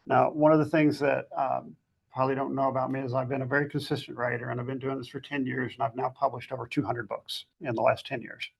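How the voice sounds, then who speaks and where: Gravelly, husky man giving advice. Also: medium pitch, flat delivery, fast, low-quality recording. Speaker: guest fiction author giving writing advice. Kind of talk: conversational advice talk.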